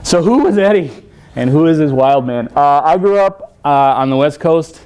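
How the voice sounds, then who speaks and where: A man in his forties from El Salvador, giving a self-deprecating conference talk in a university hall, joking often and pausing on many fillers. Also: fairly high pitch, expressive, darkish tone, smooth. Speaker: conference speaker.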